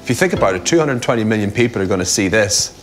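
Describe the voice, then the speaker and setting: A man with a lowish voice talks fast and casually to camera, joking at his own expense. Music runs faintly underneath. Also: animated. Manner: chatty, joking, self-mocking. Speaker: father cast as a model in a catalogue ad.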